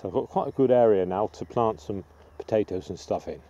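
A middle-aged man giving a casual, unhurried, matter-of-fact gardening vlog demonstration in a rambling way. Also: fairly low pitch, dull audio. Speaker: allotment gardener presenting own video. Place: outdoors on an open allotment plot. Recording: outdoor background noise with birdsong.

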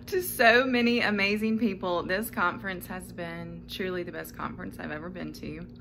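A woman's voice: lowish voice, moderate pace, vocal fry. A woman, vlogging casually to a handheld phone in a convention center, chatty and laughing often. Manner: chatty, laughs often, speaks softly at times. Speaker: farm vlogger. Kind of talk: casual vlog to camera. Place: large convention center and busy bar. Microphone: handheld phone at arm's length. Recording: loud crowd and background noise.

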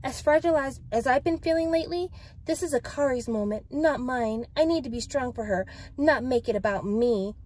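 A woman's voice: sits high, brisk, a little mellow, voice pushed up. A woman voicing a tearful, self-absorbed young female pop idol in a dramatic book read-aloud, emotive and pleading. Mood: tearful sympathy.

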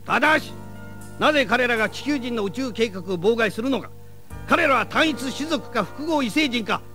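A man's voice: extremely high pitch, lively intonation, normal speed, sharp tone, soft background music, heavily acted. A man playing a stern, zealous commander of a secret space force in a grandiose, declamatory audio drama style. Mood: grave, declaiming.

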